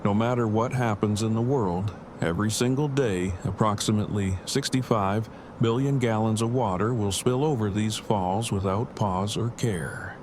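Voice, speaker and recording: An actor playing Eugene, a verbose, bookish and affectionate apocalypse survivor, speaking formally and precisely in an audio drama. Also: male voice, lowish voice, moderate pace, few pauses, close to an everyday voice. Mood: reflective. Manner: formal, wordy, precise diction. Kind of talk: fan audio drama acting. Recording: clearly noisy, with ambience behind.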